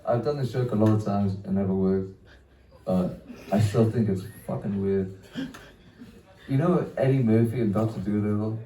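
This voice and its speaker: A man's voice: lowish voice, moderate pace, mellow, distant mic. A young man doing a rambling, self-deprecating stand-up set, swearing and trailing off into laughs. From Wales. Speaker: stand-up comedian. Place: small comedy venue. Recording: echoey room, mic picked up from afar.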